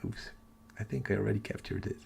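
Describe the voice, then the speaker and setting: Guy doing a casual livestream, half whispering, kinda croaky, noise in the background. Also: fast talker, a little mellow. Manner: keeping voice low for sleeping household. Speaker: astronomy livestream host. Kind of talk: casual live chat with viewers.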